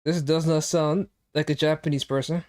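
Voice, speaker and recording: A young male streamer with a somewhat high voice, chatting loosely and reacting to his chat. He teases viewers in a joking, offhand way while he plays. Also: steady tone, normal speed, a little mellow. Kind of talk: casual live-stream chat.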